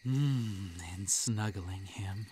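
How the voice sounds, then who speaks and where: A man voicing a possessive, gloating yandere kitsune monster boy in an intimate, hushed, menacing ASMR roleplay monologue. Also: fairly low pitch, very slow, a little hiss or hum, voice pushed down. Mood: smug, gloating. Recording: night insect ambience behind the voice. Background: crickets and night insects.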